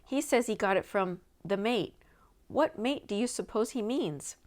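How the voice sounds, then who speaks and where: A woman's voice: mid-range voice, expressive, unhurried, a little mellow, close to an everyday voice. A woman voicing a bold young female detective in a storybook, questioning people insistently. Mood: thoughtful. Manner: urgent, probing, persistent questioning. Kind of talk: storybook read-aloud character voice.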